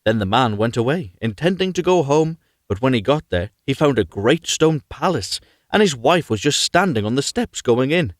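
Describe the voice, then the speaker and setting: A man reading a fairy tale aloud live as an expressive storyteller. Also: mid-range voice, moderate pace, few pauses, no echo, clean studio sound. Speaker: storyteller, reader.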